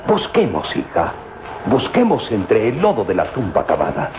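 An actor playing an earnest, anxious scientist father in a radio serial, with a dramatic, halting delivery that repeats words. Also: on the low side, wildly swooping pitch, muffled recording. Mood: urgent, hopeful. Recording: noisy, band-limited old radio drama recording.

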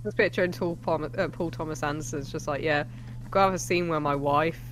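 A man's voice: on the high side, fairly even intonation, quick pace, a bit bright, a little hiss or hum. A man co-hosting a film reaction show, chatting casually. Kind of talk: casual movie reaction chat. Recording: movie music clearly behind. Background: film soundtrack music.

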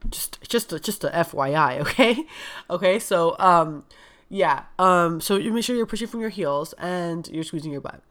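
A woman's voice: lowish voice, lively intonation, normal speed, a bit bright. A young woman wellness coach talking casually and frankly, with a stuffed-up nose, full of "like" and "um". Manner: chatty, frank, heavy use of "like" and "um". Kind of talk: casual podcast talk.

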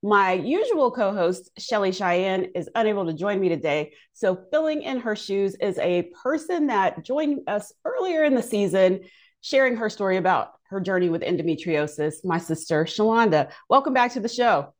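A woman's voice: medium pitch, animated, normal speed. A woman co-hosting a podcast, introducing guests in a chatty, informal way and laughing easily. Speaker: podcast co-host.

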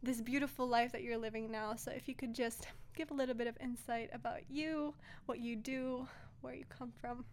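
A woman's voice: a little high, fairly even intonation, moderate pace, a little hiss or hum. A woman hosting a casual podcast interview from a bedroom at home, speaking in an effusive, enthusiastic way. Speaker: podcast host.

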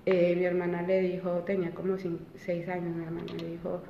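Woman with a gravelly voice, chatting. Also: fairly low pitch, completely flat delivery, brisk, slight background noise. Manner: chatty, many fillers and restarts. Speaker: interview guest. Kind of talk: casual personal storytelling. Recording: roomy, reverberant, dull sound.

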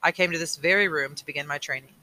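A man reading aloud as a weary old mentor, patient and burdened, with a slow, earnest delivery. The voice sits a little high and comes out sharp and bright. It sounds like a tired elder in a storybook. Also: rough recording. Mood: solemn. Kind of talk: audiobook reading.

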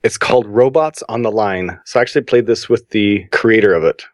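A man's voice: fairly low pitch, fairly quick, no echo, studio quality. A man on a podcast panel, chatting with enthusiasm as he shares his picks. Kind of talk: casual podcast chat.

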